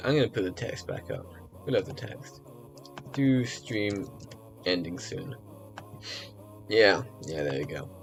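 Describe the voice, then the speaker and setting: A man chatting casually on a live stream, rambling with lots of fillers and restarts, his voice a little rough as if from early mornings. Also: on the low side, darkish tone. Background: faint music.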